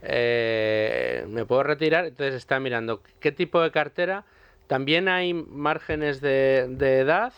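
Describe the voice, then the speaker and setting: Smooth-voiced radio co-host. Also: male voice, medium pitch, big pitch swings, no room sound. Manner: measured, asks questions on behalf of listeners. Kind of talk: radio interview questions. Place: radio studio. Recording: slightly dull radio studio sound.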